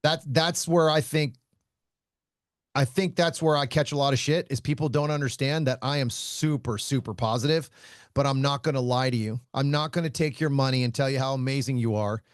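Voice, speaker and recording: A man who hosts a podcast and teaches shooting, talking casually in a loud voice, blunt, laughing often and swearing freely. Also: medium pitch, brisk, no room sound, clean studio sound. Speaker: shooting instructor and podcast host.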